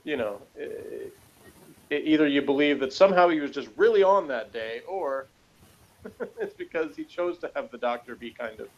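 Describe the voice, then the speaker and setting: A man chatting casually as a co-host about a TV show, in a rambling, halting way, on a dull lo-fi recording. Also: on the high side, animated. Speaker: podcast co-host. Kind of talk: casual TV episode discussion.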